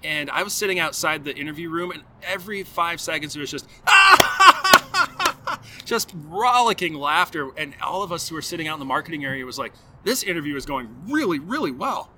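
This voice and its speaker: A man hosting a podcast who tells animated stories, acts out playful quotes and reads sponsor messages. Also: fairly high pitch, brisk, slight background noise. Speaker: podcast host. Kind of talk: casual chat with sponsor reads.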